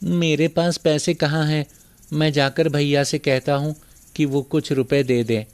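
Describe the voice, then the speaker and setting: A man's voice: on the high side, little pitch movement, measured pace, clean tone, natural voice. A man playing a poor, kind-hearted younger brother, meek, earnest and pleading, in a moral story cartoon.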